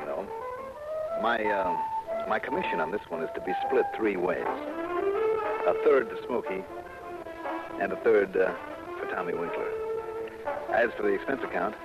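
A confident, wry radio detective, delivers punchy, theatrical lines with very dramatic swings in pitch. The sound is a dull old radio recording with loud music. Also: male voice. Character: wry, confident male insurance investigator narrating his case.

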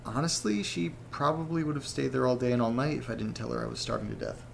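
An actor playing a rattled young man who rambles haltingly through a personal audio diary in a self-deprecating way. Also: medium pitch, fairly even intonation, vocal fry, slight background noise. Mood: wistful, wry. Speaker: narrator character of a horror audio drama. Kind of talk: confessional audio diary monologue, conversational.

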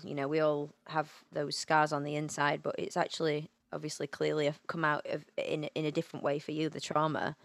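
A woman's voice: deep voice, creaky, dry recording. A woman co-hosting a podcast, speaking in a supportive, reflective way. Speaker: podcast co-host. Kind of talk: casual chat.

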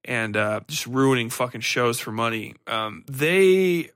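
A man hosts an off-the-cuff review in an average-pitched voice. He wanders through his thoughts, hesitating and correcting himself, and swears freely as he gives blunt opinions. The sound is dry, with very little room in it. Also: expressive, slow, fairly bright tone, smooth, no echo. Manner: rambling, hesitant, self-correcting. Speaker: podcast host reviewing books and TV. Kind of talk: casual unscripted review.

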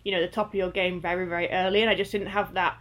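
A woman's voice: on the low side, flat delivery, racing, fairly bright tone. A woman in her twenties from Northwest England, a guest athlete chatting casually in an interview, with lots of fillers and easy laughter. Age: 20s.

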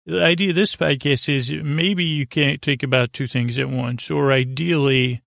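A man hosting a bedtime podcast in a creaky, soothing voice, meandering and hesitant, with goofy deadpan digressions. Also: medium pitch, no room sound, studio quality. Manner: soothing, drawn-out delivery. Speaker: podcast host. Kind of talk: rambling bedtime monologue.

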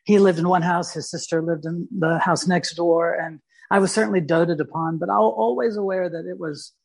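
A man from Mississippi, a guest artist talking thoughtfully in an interview, pausing and restarting as he searches for words. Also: sits high, steady tone, gravelly, a little mellow, slightly rough. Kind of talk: reflective interview talk.

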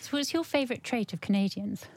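A woman co-hosting an interview podcast, reading scripted lines and asking questions in a deliberate way. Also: a little high, lively intonation, no room sound, rough recording. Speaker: podcast co-host.

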